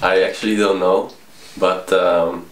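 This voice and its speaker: A man, answering interview questions with many pauses. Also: low voice, little pitch movement. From Tilburg, Netherlands. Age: 20s. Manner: hesitant, full of pauses. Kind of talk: casual street interview answers. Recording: echoey, noisy street spot.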